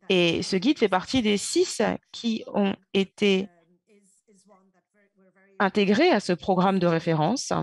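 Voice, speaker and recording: A woman giving a simultaneous interpretation of conference speeches, halting, with pauses and self-corrections. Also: mid-range voice, a little mellow, no room sound.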